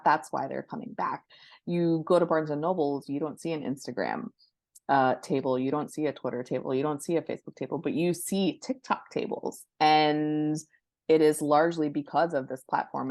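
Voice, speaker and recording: A woman with a low-pitched voice talks as a guest in a casual interview. She offers advice and small anecdotes, doubling words for emphasis. The sound is dry and close. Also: dry recording. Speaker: podcast guest, book marketing professional.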